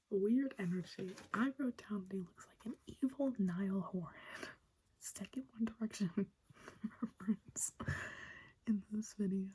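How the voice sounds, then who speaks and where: A woman's voice: mid-range voice, warm tone, a little hiss or hum, poor audio. A young woman whispering a chatty, enthusiastic recap of a show in ASMR style. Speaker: wrestling recap host.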